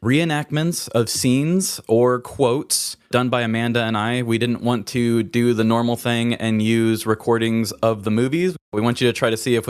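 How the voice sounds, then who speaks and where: A man's voice: medium pitch, clean tone, dry recording, clean studio sound. A man co-hosting a podcast, chatting casually and explaining a game. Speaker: podcast co-host.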